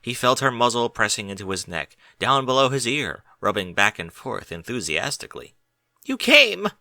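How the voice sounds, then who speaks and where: A man giving expressive, dramatic scripted narration of a story, with deliberate phrasing. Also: lowish voice, no room sound, clean studio sound. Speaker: audiobook narrator.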